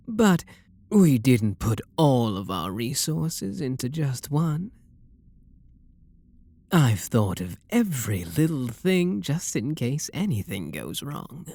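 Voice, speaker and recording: A man with a British accent playing a refined, possessive and seductive vampire lord in an intimate ASMR roleplay, speaking softly and coaxingly with a breathy, husky voice. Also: mid-range voice, sing-song, heavily acted. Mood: soothing, tender. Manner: soft, coaxing, flirtatious, unhurried.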